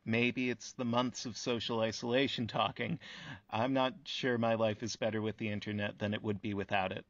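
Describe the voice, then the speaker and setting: A man's voice: on the low side, level tone, moderate pace, dry recording, natural voice. A man playing a thoughtful, affable interviewer who chats reflectively in a casual radio play. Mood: musing. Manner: reflective, conversational, agreeable. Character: thoughtful, affable male interviewer of talking buildings. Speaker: interview host. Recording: dull, dry lo-fi audio.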